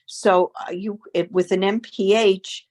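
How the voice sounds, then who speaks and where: A woman faculty member presenting at an online information session over a video call, speaking in a halting way with frequent filler sounds and restarts. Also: mid-range voice, fairly even intonation, darkish tone.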